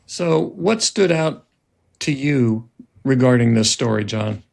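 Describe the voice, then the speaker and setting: A man's voice: medium pitch, expressive, slow, gravelly, a little mellow. A man hosting a podcast, asking questions in a conversational interview style. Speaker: podcast host.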